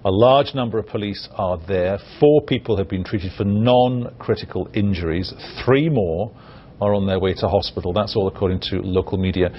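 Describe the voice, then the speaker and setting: A man's voice: lowish voice, normal speed. A man presenting rolling breaking news as an anchor, on muffled lo-fi audio. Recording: muffled lo-fi broadcast with faint noise.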